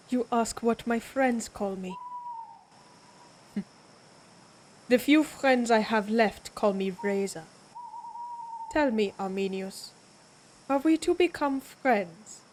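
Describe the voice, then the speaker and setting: A woman playing a proud, menacing vampire warrior in an audio roleplay, speaking deliberately with an imperious, taunting manner. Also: on the high side, a little mellow, slight background noise, rough recording. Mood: wry.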